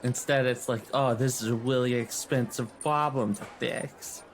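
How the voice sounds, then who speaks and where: A man's voice: mid-range voice, fairly even intonation, moderate pace, a faint crowd, slight background noise. A man playing a tabletop role-playing game, improvising in character with casual, slangy, sweary speech.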